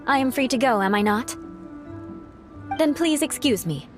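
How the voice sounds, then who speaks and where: A woman's voice: high voice, expressive, moderate pace, over music. An actress playing a proud, formal young noblewoman knight in a video game, with a haughty, clipped manner. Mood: haughty, defensive. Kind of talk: animated video game voice acting.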